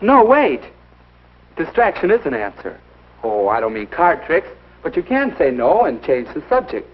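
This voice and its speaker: An earnest, sensible teenage boy in an old classroom film. He speaks slightly high with varied pitch. The soundtrack is muffled. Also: wildly swooping pitch, normal speed. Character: earnest, reasonable teenage boy offering advice. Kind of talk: 1950s instructional film acting.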